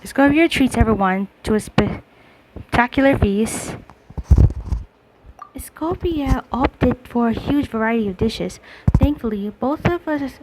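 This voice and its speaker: A woman playing a thoughtful, gentle young adventurer in an anime game, talking in a calm, considerate way. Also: on the high side, unhurried, background noise. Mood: narrating. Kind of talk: anime game voice acting and narration.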